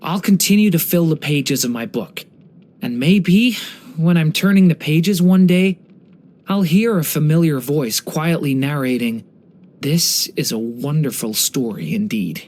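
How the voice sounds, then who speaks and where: An actor voicing a gentle, earnest young dragon boy in a video game, speaking with soft-hearted wonder and care. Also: mid-range voice, animated, measured pace, close to an everyday voice. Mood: reflective, content. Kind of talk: scripted game character voice lines.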